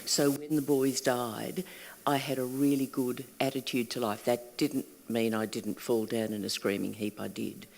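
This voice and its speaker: An older Australian woman speaks with earnest conviction, her voice sometimes falling to a hush. She sounds like a panel guest in a large hall, with long reverb trailing her words. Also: extremely low pitch, level tone, moderate pace, creaky. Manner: earnest, reflective, at times hushed. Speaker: panel guest, workshop leader. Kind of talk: candid panel talk. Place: event hall.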